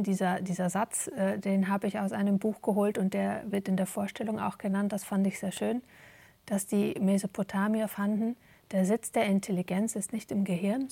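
A woman giving thoughtful, conversational interview answers, searching for her words as she goes, with a husky voice. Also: mid-range voice, dark tone. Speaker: interview guest, theatre performer.

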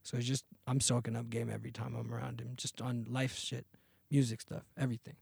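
A man in his thirties, a podcast guest and singer, telling stories in a relaxed, slangy way. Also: mid-range voice, brisk, gravelly, breathy edge, no echo. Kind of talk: casual interview chat.

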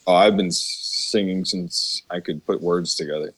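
A man's voice: low-pitched, steady tone, moderate pace, fairly bright tone, breathy edge. An American man from Chicago talking as a podcast guest in an informal, earnest and agreeable way. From Chicago, United States. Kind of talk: casual interview chat.

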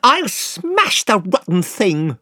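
A man voicing a frightened, bad-tempered schoolboy in a squeaky, petulant voice for a storybook. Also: wildly swooping pitch, slow pace, sharp tone, breathy edge, no room sound, studio quality, full character voice. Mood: furious. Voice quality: squeaky, shrill. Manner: petulant, panicky outbursts. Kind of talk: audiobook character voice.

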